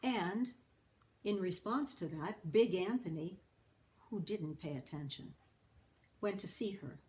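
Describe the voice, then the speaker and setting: Woman reading a kids story out loud, slow and careful, some noise in the background. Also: on the low side. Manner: deliberate, explains words to young listeners. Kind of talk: children's story read-aloud.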